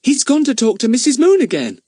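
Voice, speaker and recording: A man voicing an excitable young boy who speaks in eager, breathless exclamations. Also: extremely high pitch, a little fast, husky, no echo, studio quality, pitched above the performer's own voice, exaggerated voice. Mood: excited.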